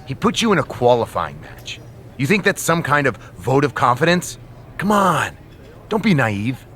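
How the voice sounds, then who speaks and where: An actor playing a scheming wrestling brand manager who speaks in a smug, needling, conspiratorial way. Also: male voice, mid-range voice, very animated, normal speed, a bit bright.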